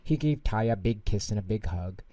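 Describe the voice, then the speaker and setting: A man reading a children's bedtime story aloud in an animated narrating style. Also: fairly low pitch, normal speed. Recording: roomy echo, distant mic.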